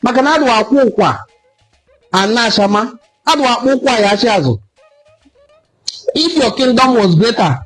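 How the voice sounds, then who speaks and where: A Nigerian man giving an impassioned solo commentary, lecturing forcefully and repeating points for emphasis. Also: high-pitched, low-quality recording. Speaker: online cultural commentator.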